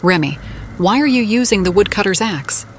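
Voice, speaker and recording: A woman's voice: mid-range voice, lively intonation, moderate pace, slight background noise. A woman giving in-character role-play commentary over a video game, with game ambience behind her. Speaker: gaming video narrator playing in character.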